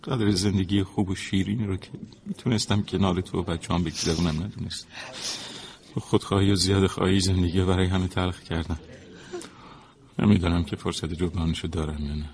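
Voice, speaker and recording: An actor playing a devout, remorseful middle-aged husband condemned by a court, pleading and solemn in a drama. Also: male voice, deep voice, steady tone, darkish tone. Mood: tender remorse. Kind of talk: dramatic acting.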